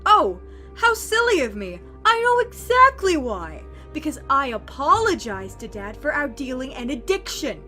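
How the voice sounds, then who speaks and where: A woman's voice: high-pitched, very animated, a little slow, pushed and bright, faint music behind, full character voice. A woman acting a bitter, sarcastic, combative sister who survives in a zombie apocalypse audio drama. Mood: sarcastic, accusing. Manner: sarcastic, confrontational, swearing.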